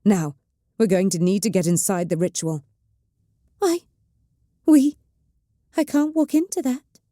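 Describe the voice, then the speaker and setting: Brief: battered woman navigator in chronic pain, acid and commanding, low dark voice, read by a woman narrator. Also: normal speed, dry recording, studio quality, natural voice. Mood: breathless. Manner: gritted-teeth, acid and commanding.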